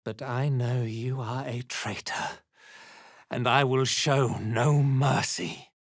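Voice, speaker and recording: A man playing a haughty, cruel dark elf zealot commander in a video game, speaking in imperious, menacing, theatrical pronouncements. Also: medium pitch, fairly even intonation, takes it slowly, no echo, studio quality. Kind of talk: video game character acting.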